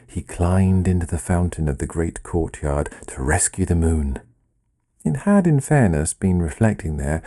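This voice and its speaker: Male narrator for literary fiction: very low pitch, unhurried, wry and deliberate. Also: warm tone. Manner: measured, deliberate, wry delivery. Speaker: author reading own story. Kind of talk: scripted literary storytelling narration.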